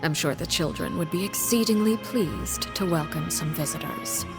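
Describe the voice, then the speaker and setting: An actress voicing a composed, formal woman who leads an orphanage and a shadowy organization in a video game, speaking in a measured, quietly menacing way. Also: low voice. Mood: calm. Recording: game audio with faint music.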